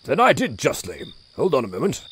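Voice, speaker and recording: A man's voice: mid-range voice, wildly swooping pitch, brisk, fairly bright tone, a little hiss or hum. A man playing a hearty male cleric and healer who chuckles and speaks with jaunty exclamations. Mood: jovial. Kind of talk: audio drama acting.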